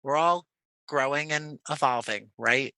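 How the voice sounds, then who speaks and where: An American man talking conversationally as an interview guest, choosing his words in an articulate, measured way. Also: mid-range voice, bright, forceful tone, smooth, dry recording. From Jersey City, United States. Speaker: podcast guest, audio play creator.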